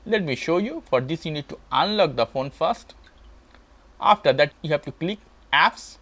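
A man's voice: a little high, expressive, moderate pace, fairly bright tone. A man hosting a step-by-step tech tutorial in short, simple phrases, with non-native English phrasing. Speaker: tutorial host.

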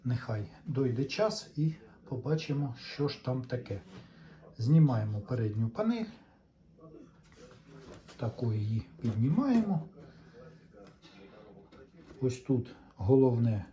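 A man's voice: mid-range voice, lively intonation, takes it slowly, long pauses, warm tone, highs cut off. A man giving casual hands-on commentary, chatting to viewers and thinking aloud as he works. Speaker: host of a tape recorder restoration video.

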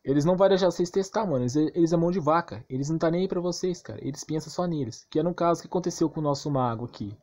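A man's voice: fairly high pitch, very fast, few pauses, a little mellow. A man with a Brazilian accent giving a casual, ranting game commentary, slangy and crude, emphatic and repetitive with rhetorical questions. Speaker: gaming YouTuber.

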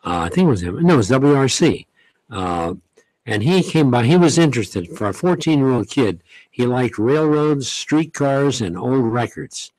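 An older man, a veteran radio host, reminiscing in an unhurried, folksy way in a radio studio at a studio mic. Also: medium pitch, animated, a little mellow, dry recording, rough recording. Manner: unhurried, folksy, many pauses and "uh"s. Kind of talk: interview, reminiscing. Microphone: studio mic, headset.

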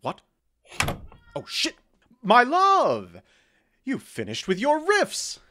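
A man plays a theatrical fairy king in a modern audio drama. His pitch leaps about as he protests, huffy, defensive and quick to get flustered. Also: wildly swooping pitch, background noise, full character voice. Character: petulant, theatrical fairy king living as a bickering husband.

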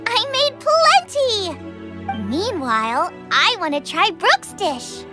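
Anime game role: chirpy, confident young girl cook, extremely high and animated. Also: takes it slowly, over loud music, heavily acted. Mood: pleased, excited. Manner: chirpy, enthusiastic. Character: bubbly, confident teenage girl chef. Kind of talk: anime video game voice acting.

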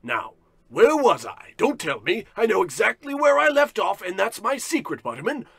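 A man's voice: sits high, very animated, normal speed, gravelly, fairly bright tone, breathy edge, full character voice. A man playing a pompous, boastful tycoon and bullying boss in a bombastic old-radio parody style. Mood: bragging. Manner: bombastic, self-important.

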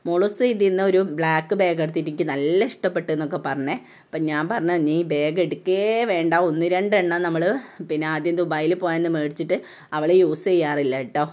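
A low-voiced woman from Kerala narrates a shopping trip in easy, chatty Malayalam-accented speech. She films herself strolling a big indoor mall on her phone, picking up the open sound of a busy store. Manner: chatty, tags sentences with a soft "tto". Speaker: vlogger, mother touring a mall with her daughter. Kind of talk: casual walk-around vlog narration. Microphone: phone camera held while walking.

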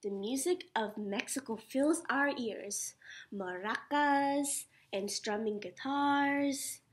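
A young woman teacher reading a children's picture book aloud in an animated, instructive way. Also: sits high, takes it slowly, slight background noise. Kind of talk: children's picture-book read-aloud with sing-song refrains. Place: small indoor classroom.

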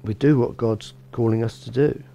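Mellow-voiced bishop chatting a sermon. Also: male voice, medium pitch, fairly even intonation, moderate pace, gravelly, slight background noise. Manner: conversational, many restarts and "you know". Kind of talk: informal sermon. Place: small church with a lectern.

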